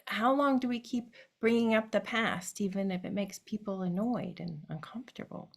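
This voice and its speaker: A woman's voice: mid-range voice, moderate pace, vocal fry. A woman performing a reflective autobiographical monologue on stage, speaking directly to her grandfather.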